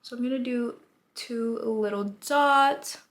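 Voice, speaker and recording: A woman in her twenties, her voice raspy from a lost voice, chatting casually while doing her makeup, laughing often. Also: a little high, slow pace. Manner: chatty, rambling, laughs often, says y'all. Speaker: beauty vlogger. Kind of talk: casual get-ready-with-me chat.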